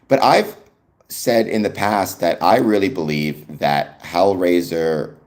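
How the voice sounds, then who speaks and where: A young man with a Canadian accent talks casually to his live-stream viewers. His voice sits deep and low, yet his pitch swings about freely. He fills the pauses with ums as he answers comments from the chat. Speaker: livestream host.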